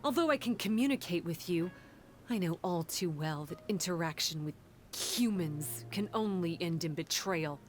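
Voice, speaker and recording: A woman's voice: lowish voice, lively intonation, close to an everyday voice. A woman voicing a guarded, solemn young female creature in a video game, formal and grave, bitter toward humans. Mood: cold, defiant. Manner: formal, grave, measured wording. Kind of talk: video game character dialogue. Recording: game music behind the voice. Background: background music.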